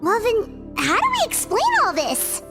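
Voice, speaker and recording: Game head nurse: tiny, sweet, precise, very high voice, music underneath. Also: female voice, wildly swooping pitch, measured pace, exaggerated voice. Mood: earnest, thoughtful. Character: small, cute, cheerful female nurse creature, earnest clinician. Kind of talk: video game character acting. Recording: music behind the voice.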